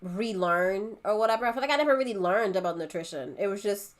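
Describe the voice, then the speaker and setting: A pregnant woman from Haiti in casual conversation, chatty and quick to laugh. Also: medium pitch, expressive. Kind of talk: casual chat.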